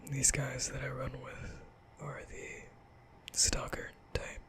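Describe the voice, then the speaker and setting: A man playing a nervous, caring young vampire in an ASMR roleplay, speaking softly and hesitantly with stammers in a breathy whisper. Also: mid-range voice, completely flat delivery, slow. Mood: sheepish, confiding. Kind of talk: ASMR roleplay, soft comforting boyfriend audio.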